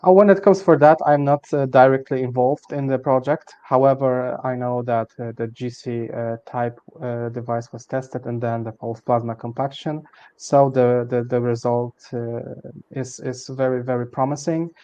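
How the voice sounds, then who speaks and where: A man's voice: medium pitch, steady tone, normal speed, mellow, smooth, lo-fi sound. A man answering a question in an online webinar over a video call, speaking hesitantly with many fillers. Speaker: project partner answering a question.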